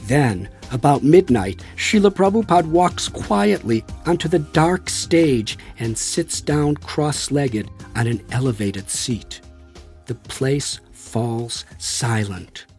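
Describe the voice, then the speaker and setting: A man voicing a friendly, enthusiastic American devotee in audiobook dialogue, with a warm, animated, admiring manner. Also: mid-range voice, slow, soft background music. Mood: excited reminiscing.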